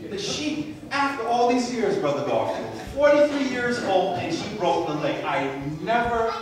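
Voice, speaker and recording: A man in his forties from Barbados, preaching a sermon with a handheld mic, moving from soft storytelling asides to loud emphatic peaks. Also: extremely high pitch, very animated, a little slow, far from the mic, a crowd in the background. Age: 40s. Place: church sanctuary. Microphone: handheld mic, far camera. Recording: echoey church, captured from afar.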